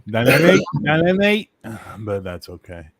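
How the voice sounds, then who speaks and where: A man in casual chat, swearing freely and ribbing his friends with loud jokes. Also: mid-range voice, very animated, measured pace, dry recording. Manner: profane, loud joking banter.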